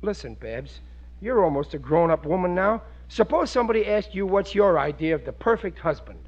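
A high-pitched, blustering working-class husband in an old radio comedy. He is dim and lovesick, talks in folksy bad grammar and swings wildly into theatrical outbursts. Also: expressive, brisk, muffled recording. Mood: baffled, pleading. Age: middle-aged. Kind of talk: 1940s radio sitcom acting.

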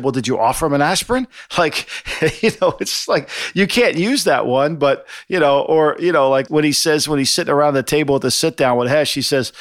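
Middle-aged male co-host, fairly high voice, dry sound, rambling animated chat. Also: no room sound. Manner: rambling, animated, quoting lines with laughs. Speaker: podcast co-host. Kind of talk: casual chat.

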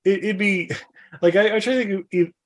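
A man's voice: high voice, normal speed. A man chatting casually as a podcast co-host. He rambles and jokes, padding his sentences with fillers as he goes. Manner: joking, rambling with many fillers. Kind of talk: casual chat.

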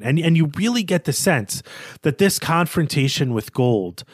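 A man's voice: medium pitch, no room sound. Guy podcast cohost chatting about a novel, wry, corrects himself, really dry sound with no room. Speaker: podcast co-host. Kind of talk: casual book discussion.

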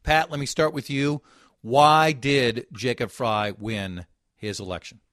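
Brief: Midwest male radio host, mid pitch, brisk, directs the panel, dry studio sound. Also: fairly even intonation, fairly bright tone, no echo. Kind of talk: hosting a panel discussion.

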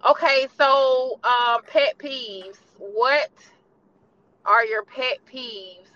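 A woman's voice: high-pitched, steady tone, very slow, sharp tone, dull audio. A woman hosting a casual podcast interview in informal, slangy, joking talk. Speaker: podcast host.

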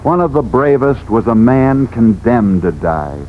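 A man's voice: mid-range voice, expressive, measured pace. An American man telling sports stories as a 1940s radio host, emphatic and theatrical, building up through repetition. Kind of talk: 1940s dramatic radio narration. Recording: dull, noisy 1940s radio recording.

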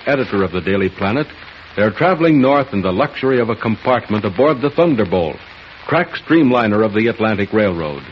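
A grand male announcer of a 1940s radio serial. His low voice declaims in a heroic, dramatic manner. The old recording is dull, with a faint hiss behind him.

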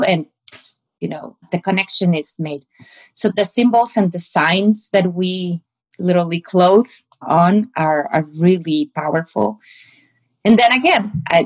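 Mexican-accented woman pastor, lowish voice. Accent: Mexican Spanish. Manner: reflective, searching for words. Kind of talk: candid interview answers.

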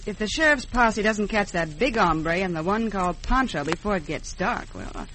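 A woman's voice: medium pitch, expressive, moderate pace, a bit bright, natural voice. An actress playing a cool, bossy female gang leader in a radio western, curt and commanding, with an American accent. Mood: cold, plotting. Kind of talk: 1950s radio western acting. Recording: noisy old radio show recording.

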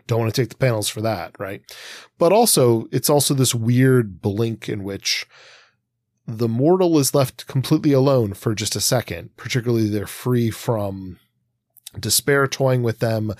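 A man with a fairly low voice talks casually as a podcast co-host. He feels his way through ideas aloud, padding them with fillers and small hesitations. The recording is very dry, with no room sound. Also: a little mellow, no room sound. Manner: hesitant, thinking aloud, frequent hedging and filler words. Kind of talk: casual discussion of a comic.

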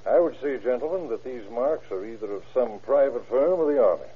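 Polite laundryman witness, slow careful formal talk, tinny vintage radio play. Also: medium pitch, expressive, slightly rough. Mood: helpful, formal. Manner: courteous, deliberate, formal. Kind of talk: old-time radio crime drama acting. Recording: narrow, crackly old radio recording.

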